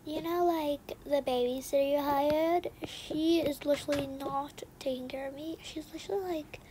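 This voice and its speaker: A young female performer voicing a resentful, sneaky young girl in a game roleplay, often muttering in a breathy half-whisper with casual slang. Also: high-pitched, steady tone, normal speed, lightly acted. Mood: complaining. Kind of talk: improvised game roleplay storytelling. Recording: noisy home recording with clicks.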